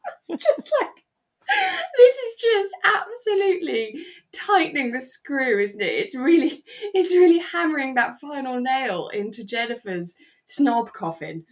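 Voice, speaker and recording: Animated British woman chatting casually. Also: high-pitched, unhurried. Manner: animated, emphatic, expressive. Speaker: podcast co-host. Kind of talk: casual chat.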